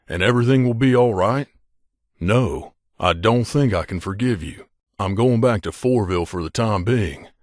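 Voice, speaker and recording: A low, closely recorded male voice , acted by a man. He is an earnest wasteland drifter from a video game, guarded but loyal. His delivery is conversational and sincere, with very little room sound. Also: dry recording, studio quality, natural voice. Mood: hurt, guarded. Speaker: voice actor. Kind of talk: video game companion dialogue.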